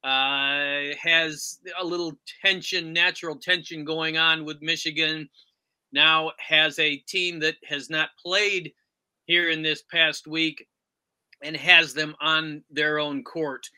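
A man's voice: a little high, little pitch movement, takes it slowly, sharp tone, clean tone. A man hosting a sports talk podcast and putting questions to his guest. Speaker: podcast host.

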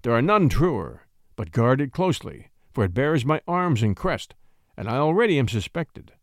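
A man reading a story, playing a huge, suave marquis who speaks in a grand but softened, courtly way. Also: mid-range voice, very animated, normal speed, no room sound, studio quality. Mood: courtly, cautious. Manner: great voice softened by habit, courtly.

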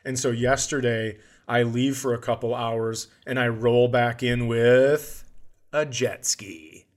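Man, podcast host, medium pitch, casual comedic storyteller, profane, exasperated, acts out conversations. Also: fairly even intonation, slow. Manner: profane, exasperated retelling with acted-out dialogue.

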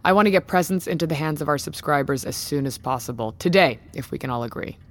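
An actress playing an embattled, proud company founder in an audio drama, controlled and cutting. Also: female voice, deep voice, expressive, fast talker. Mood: composed, firm. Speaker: actor. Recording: music behind the voice.

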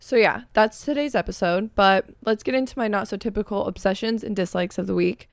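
A young woman chats through her solo podcast episode, her voice raspy as she is losing it but still even and smooth at the core. She rambles in a frank, filler-heavy way, and the sound is dry and close with no room around it. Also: medium pitch, a little fast, no echo. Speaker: podcast host. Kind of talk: casual solo podcast chat.

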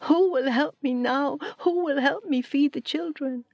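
A woman voicing a desperate village woman who pleads in a high voice with those around her. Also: measured pace, a little mellow, no echo, muffled recording. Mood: begging. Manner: high, begging, breathless pleas.

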